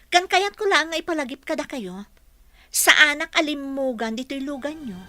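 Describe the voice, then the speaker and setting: An actress playing a plucky young job-seeker, earnest and polite but ready to talk back, in a Philippine radio drama. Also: female voice, high-pitched, animated, normal speed. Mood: indignant. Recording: loud music bed under dialogue.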